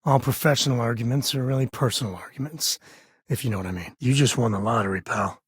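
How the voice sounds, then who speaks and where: An actor playing a rugged, wry frontier space explorer in a video game, with a husky voice and folksy, conversational delivery. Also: male voice, mid-range voice, fast, dry recording, studio quality. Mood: wry, easygoing. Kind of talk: video game character dialogue.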